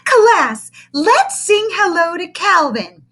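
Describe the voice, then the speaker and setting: A woman's voice: very high voice, very animated, takes it slowly, a bit bright, voice pushed up, exaggerated voice. A woman voicing a cheerful, gushing kindergarten teacher with a sing-song, chirpy manner.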